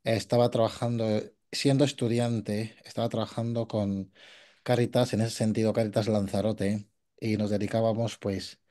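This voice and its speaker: A man's voice: fairly low pitch, level tone, normal speed, dark tone. A man speaking at a conference, sharing his experience with hesitant speech full of fillers. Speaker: conference participant. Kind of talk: conversational remarks at a conference.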